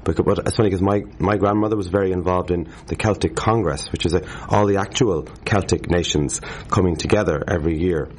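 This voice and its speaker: A man with a very low voice talks quickly about tradition and music in a casual interview. He rambles and restarts, carried along by his own enthusiasm. Faint background noise hums behind him. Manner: animated, rambling, many restarts. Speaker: harpist, interview guest.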